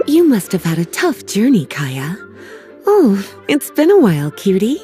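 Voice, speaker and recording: An actress playing a flirtatious, relaxed female mage in a video game cutscene, speaking in a languid, teasing way. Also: mid-range voice, unhurried. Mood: flirty. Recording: faint game music behind.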